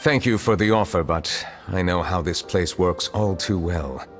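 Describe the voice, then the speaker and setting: A man voicing a formal, solemn, ancient chief justice in a video game, speaking in a measured, restrained way. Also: deep voice, in a large hall, soft background music. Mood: calm.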